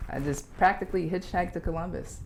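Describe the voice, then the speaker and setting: A woman's voice: on the low side, a little fast, darkish tone, slight background noise, low-quality recording. A woman from South Florida in her forties, a guest on an interview show, talking frankly and laughing easily. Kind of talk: casual interview chat.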